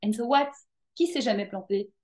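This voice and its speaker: Woman, guest coach; lively, emphatic, casual with hesitant fillers. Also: on the high side, normal speed. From Belgium. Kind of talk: casual interview chat.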